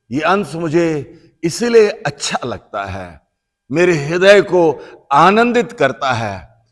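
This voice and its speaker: Male bishop, sermon delivery, high pitch, unhurried, emphatic repetition, big reverberant church. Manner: slow, emphatic, rising to loud repeated phrases then dropping to soft. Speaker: bishop preaching.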